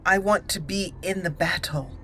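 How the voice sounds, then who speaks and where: A woman hosting a roleplay let's play, answering in character and commenting with deliberate, theatrical phrasing. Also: on the low side, fairly bright tone, a little hiss or hum. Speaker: gaming channel host.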